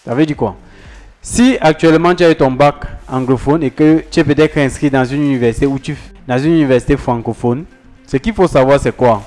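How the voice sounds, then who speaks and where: A man's voice: medium pitch, fairly quick, a little mellow. Man explaining study procedures over music. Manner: repetitive, emphatic, addresses viewers directly. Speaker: study-abroad advisor. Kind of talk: explanatory talk to camera.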